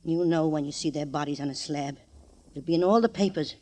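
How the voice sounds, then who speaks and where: A woman's voice: sits low, a little fast, darkish tone, natural voice. An actress playing a hard, domineering older mother of a gangster, scolding and sardonic, in an old radio crime drama. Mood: menacing.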